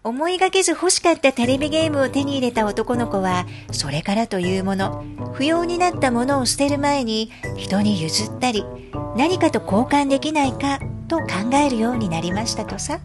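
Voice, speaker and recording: High-voiced woman narrator over music. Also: animated, normal speed. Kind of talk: scripted narration, storytelling and explainer.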